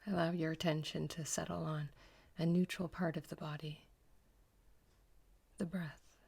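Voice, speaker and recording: A woman guides a meditation in a low, breathy half-whisper. Her voice stays even and unhurried, with little rise or fall. She repeats her phrases slowly and gently. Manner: slow, soft, gentle repetition.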